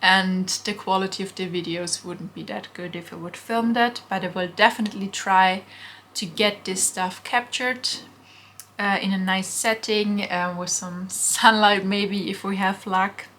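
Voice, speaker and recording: A woman's voice: mid-range voice, fairly even intonation, measured pace, fairly bright tone. A young woman from Austria, chatting casually through a vlog Q&A from her bedroom, with frequent ums. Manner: chatty, frequent ums, answers viewer questions. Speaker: vintage shop owner vlogger. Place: bedroom at home. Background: faint construction noise from next door.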